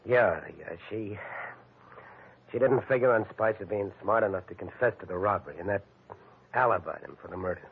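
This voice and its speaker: An actor playing a guilty, cornered private detective in a 1940s radio drama, halting and stammering. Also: male voice, fairly low pitch, gravelly, slightly rough, heavily acted. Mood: resigned. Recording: noisy phone-like old radio recording.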